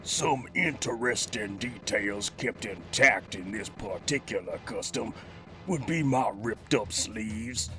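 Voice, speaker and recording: A man's voice: mid-range voice, fairly bright tone. A man voicing a cocky cybernetic gangster villain who hosts a toy review, talking in theatrical, slangy old-time mobster style. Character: cybernetic master criminal gangster, cocky, streetwise action-figure villain. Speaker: host of a toy review show. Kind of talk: in-character scripted review monologue.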